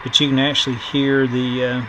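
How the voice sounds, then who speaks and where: A middle-aged man giving a casual electronics tutorial in a halting, thinking-aloud way, as the host of a repair video. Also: mid-range voice, completely flat delivery. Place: indoor workbench. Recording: clear background noise.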